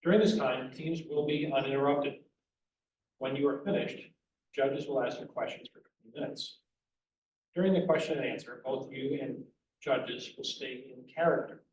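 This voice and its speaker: A man serving as competition judge, reading out rules in a measured way with frequent fillers. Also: mid-range voice, darkish tone, distant mic. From United States. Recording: echoey room heard from afar, faint noise.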